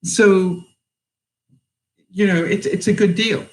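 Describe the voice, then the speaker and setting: A woman in her seventies, speaking with a British accent softened by years abroad, hosts a live stream and talks to her viewers. She talks slowly and haltingly, with plenty of "you know" fillers, and sounds a little tired. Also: medium pitch, steady tone, poor audio. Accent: mixed British. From England. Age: 70s. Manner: unhurried, halting, with many fillers. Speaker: livestream host.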